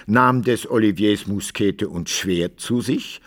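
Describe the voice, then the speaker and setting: An old-world author narrating, lowish voice, really slow and measured, radio drama w faint music. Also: male voice. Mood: solemn narrating. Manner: deliberate, measured. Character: male author-narrator fondly recounting his hero Simplicius's adventures. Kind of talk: literary radio play narration in archaic German.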